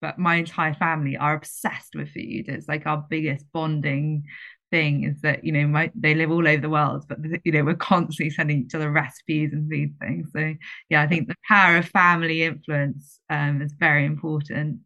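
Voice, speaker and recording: A woman's voice: deep voice, flat delivery, normal speed, bright, forceful tone, lo-fi sound. A woman hosting a podcast, asking questions in a bubbly, enthusiastic way. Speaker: podcast host. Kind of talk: podcast interview.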